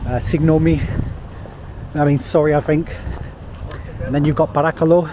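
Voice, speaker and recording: A man's voice: on the high side, little pitch movement, normal speed. A man, chatting casually to a handheld camera as he walks around a city, rambling with lots of fillers. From England. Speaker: travel vlogger. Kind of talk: casual walking vlog. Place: outdoors on busy city streets. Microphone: handheld camera at arm's length. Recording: noisy outdoor street recording. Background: street ambience and traffic.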